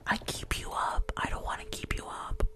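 A young woman chatting in a breathy ASMR whisper, very close to the microphone in a small room. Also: normal speed. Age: young adult. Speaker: ASMR creator. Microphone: close foam-covered microphone on desk.

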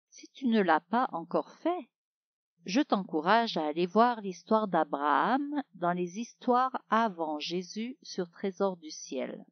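A woman tells a children's Bible story at an unhurried pace. She is expressive and speaks straight to her young listener. The recording is close and dry, with a slightly muffled, lo-fi sound. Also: medium pitch, dry recording.

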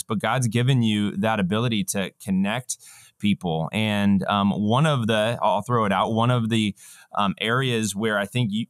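A man in his thirties hosting a faith interview over a video call from a home office, asking thoughtful questions with pauses and restarts. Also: on the low side, no echo. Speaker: podcast host. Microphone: studio microphone on video call.

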